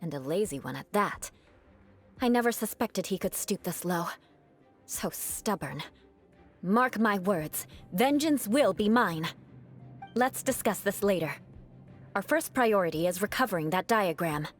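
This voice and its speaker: A woman voicing a proud young noblewoman knight in a video game, composed and defiant, with formal, measured, coolly disdainful delivery. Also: mid-range voice, animated, faint music behind, lightly acted. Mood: quietly bitter, then businesslike.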